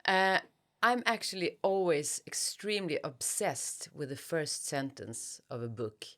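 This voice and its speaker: A woman's voice: low voice, lively intonation, fairly bright tone. A woman giving reflective interview answers as an author, searching for her words and repeating phrases. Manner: halting, searching for words with repetitions.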